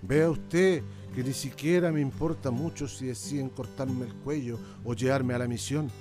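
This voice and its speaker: A man's voice: mid-range voice, fairly even intonation, moderate pace, over music, natural voice. An actor playing a weary, aging native fugitive in a radio drama, resigned, proud and plainspoken, addressing his listener respectfully but defiantly. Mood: weary resignation. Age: older.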